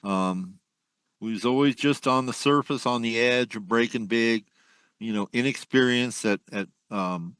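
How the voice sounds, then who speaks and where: An older man, a former musician, reminiscing at length in a casual interview, rambling with many pauses. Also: medium pitch, fairly bright tone, smooth, no echo. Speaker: guest, musician.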